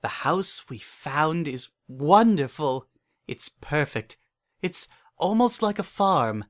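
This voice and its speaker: A man's voice: fairly high pitch, very animated, slow. A man acting an unhinged, obsessive narrator. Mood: hopeful, wistful. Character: unhinged, obsessive, paranoid male narrator clinging to his pet. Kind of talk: first-person dramatic horror fan fiction reading.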